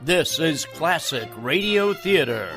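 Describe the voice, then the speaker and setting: A man's voice: fairly high pitch, lively intonation, slow, vocal fry, music in the background. A man announcing a radio program in a dramatic, produced intro style.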